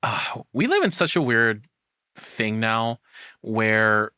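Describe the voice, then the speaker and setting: A man's voice: on the low side, big pitch swings, slow, bright, forceful tone, clear, resonant tone, no room sound, clean studio sound. A man giving casual, off-the-cuff reaction commentary as a streamer, informal and given to swearing.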